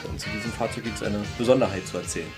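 Lower-pitched man chatting over cartoon music. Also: rapid-fire. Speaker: host of a cartoon commentary. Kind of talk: casual fan commentary.

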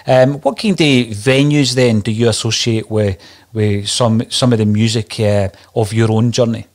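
A man's voice: fairly low pitch, fairly even intonation, normal speed, darkish tone. A man hosting a music interview show over a video call, asking questions and telling anecdotes. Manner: friendly, storytelling questions. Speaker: show host. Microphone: desk mic on video call.

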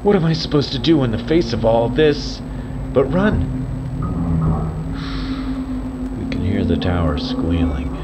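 An actor playing a weary, fearful, guilt-ridden radio observer who speaks in a halting, brooding, confessional way. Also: male voice, mid-range voice, very animated, very noisy, natural voice. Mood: guilty, resolved. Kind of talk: audio drama monologue.

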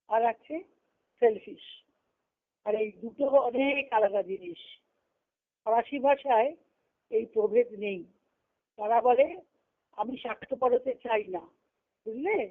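A woman giving a devotional lesson as a spiritual teacher, reading and explaining in an instructive way, repeating phrases for emphasis. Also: on the high side. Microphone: conference call line. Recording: muffled, narrow phone-like conference call.

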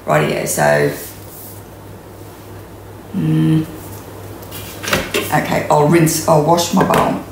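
A woman's voice: deep voice, big pitch swings, extremely slow, long pauses, noisy background. A woman in her sixties with an Australian accent, chatting through a home cooking demonstration with many ums and restarts, recorded in her kitchen on a camera mic a few metres away. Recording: echoey kitchen room.

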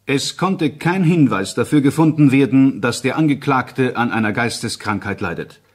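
An actor playing a formal psychiatric expert reading a report to a court, clinical and detached. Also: male voice, medium pitch, animated, moderate pace, natural voice. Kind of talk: scripted formal reading in a crime drama.

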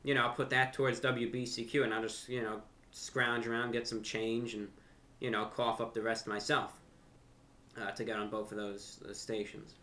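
A man's voice: medium pitch, flat delivery, moderate pace, sharp tone. A young American man giving a casual, conversational monologue to camera, earnest and repeating phrases for emphasis. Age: young adult. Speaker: host of a video channel and radio show. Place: furnished room at home.

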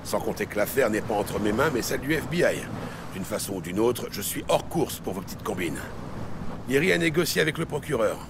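Flic ripou cynique, voix plutôt grave. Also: male voice, on the low side, expressive, a little fast, creaky, background noise. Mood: dismissive, defiant. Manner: dry, sardonic, unyielding. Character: cynical, cold corrupt cop, defiant and calculating. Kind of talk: audio series acting. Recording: very loud background music.

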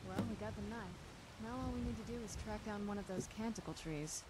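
A woman's voice: medium pitch, fast talker, heavy background noise, natural voice. A woman playing a wry, determined young-seeming vampire woman in a fantasy video game. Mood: businesslike. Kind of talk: fantasy game character dialogue. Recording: clear game music underneath. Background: game music.

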